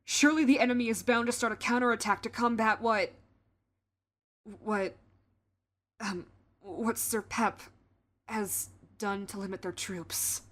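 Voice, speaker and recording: A woman's voice: a little high, fairly even intonation, unhurried, long pauses, fairly bright tone. A woman voicing an anxious, loyal male royal guard captain in a fantasy audio drama, urgent, deferential and stammering.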